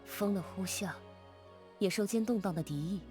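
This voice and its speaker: A woman voicing a composed, watchful female warrior in a video game, speaking in a measured way. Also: medium pitch, natural voice. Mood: calm, watchful. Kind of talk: scripted video game voice demo. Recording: prominent music behind the voice. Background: clear background music.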